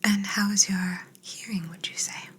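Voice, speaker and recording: A woman playing a curious, gently clinical alien in an ASMR roleplay, whispering soft, breathy instructions in a soothing, measured way with murmured hums. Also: mid-range voice, flat delivery. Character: curious, gently clinical female alien examining an abducted human.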